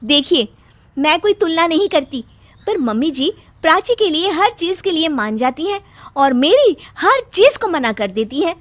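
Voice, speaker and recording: A woman's voice: high voice, very animated, normal speed, fairly bright tone, no room sound, voice pushed up. A woman voicing a young daughter-in-law in a cartoon story, pleading and earnest. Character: young wife, frustrated but caring daughter-in-law.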